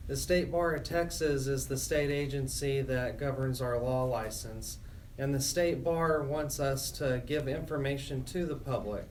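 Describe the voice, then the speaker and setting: A man's voice: medium pitch, a little slow. A middle-aged man hosting a talk radio show, speaking as an attorney and announcer. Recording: distant mic, noticeable background noise.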